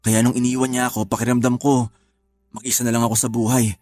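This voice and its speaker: An actor playing a gentle, heartbroken older brother in an audio drama, who speaks softly and sighs as he blames himself. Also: medium pitch, little pitch movement, quick pace, close to an everyday voice. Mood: sad. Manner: soft-spoken, sighing, self-blaming. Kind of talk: radio drama acting.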